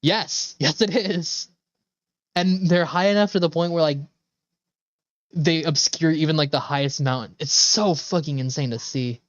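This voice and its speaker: A man's voice: a little high, measured pace, no echo. Guy streaming a game, chatty rambly enthusiastic n sweary, bit of a dull dry mic. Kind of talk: casual live game commentary. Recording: slightly dull, dry microphone.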